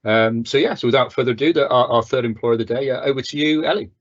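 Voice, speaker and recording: A man's voice: medium pitch, fast talker. A man hosting an online careers event, thanking speakers and introducing the next one in a hesitant way, with many uhs and repeated words. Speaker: event host. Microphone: video call. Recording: dull online call audio.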